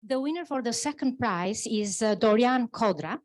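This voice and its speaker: A woman's voice: a little high, animated, moderate pace. A woman presenting journalism awards, speaking earnestly with frequent hesitations. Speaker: jury member presenting awards. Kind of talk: awards announcement.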